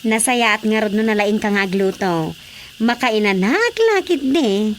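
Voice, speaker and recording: A woman acting as a lively, easily surprised female cousin in a comedy radio drama. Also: medium pitch, unhurried, close to an everyday voice. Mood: amused. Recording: faint background noise.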